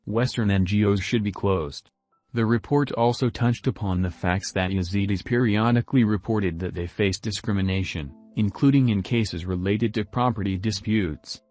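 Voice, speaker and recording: A man reading a news report in an even, measured scripted narration. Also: lowish voice.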